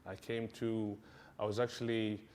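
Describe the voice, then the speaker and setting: Man, 30s, low voice, relaxed, lots of fillers. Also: unhurried. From Sweden. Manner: relaxed, many fillers and repeated yeahs. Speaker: martial arts instructor. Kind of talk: casual interview answers.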